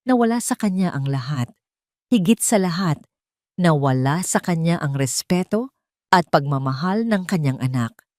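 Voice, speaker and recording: Casting: female Filipino storyteller, lower register, highly animated dramatic narration for romance fiction. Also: normal speed, dry recording, clean studio sound. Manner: expressive, dramatic delivery. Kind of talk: scripted dramatic narration of a Tagalog love story.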